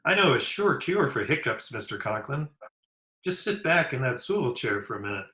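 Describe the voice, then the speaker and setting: A man's voice: medium pitch, big pitch swings, normal speed, pushed and bright. A man playing an eager, oblivious teenage schoolboy in old-time radio comedy style. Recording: roomy remote video-call recording.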